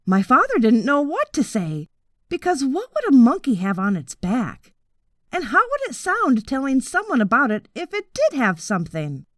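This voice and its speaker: A woman narrating a children's story from a script in an expressive, deliberate storytelling manner. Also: high-pitched, no echo. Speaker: audiobook narrator.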